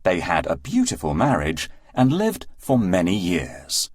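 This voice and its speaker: A man's voice: deep voice, wildly swooping pitch, measured pace, slightly rough, no room sound. A man narrating a scripted children's story as a read-aloud storyteller, in a dry recording with music.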